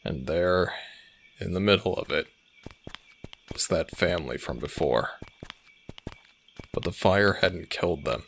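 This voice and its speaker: A man playing a world-weary, centuries-old werewolf alpha in an audio drama, brooding and sardonic, with a gravelly voice. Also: animated, fairly bright tone, slight background noise, full character voice. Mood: somber storytelling. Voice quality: very deep, gravelly. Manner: slow, brooding, deliberate. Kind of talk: dramatic audio roleplay acting.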